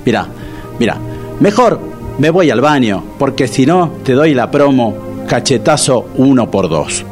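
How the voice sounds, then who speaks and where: A man's voice: mid-range voice, expressive, measured pace, a little mellow, faint music behind, lightly acted. An actor with a Rioplatense Spanish accent playing a proud, touchy man who gets sarcastic with his friend. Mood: indignant. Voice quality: indignant, sarcastic. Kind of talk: comedy TV sketch acting.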